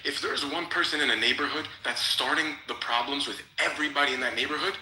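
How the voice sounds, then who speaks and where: A man argues in a live political debate with a very bright, tense voice. He challenges and interrupts repeatedly, speaking at a medium pitch through echoey audio. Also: fast talker, a little hiss or hum. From Egypt.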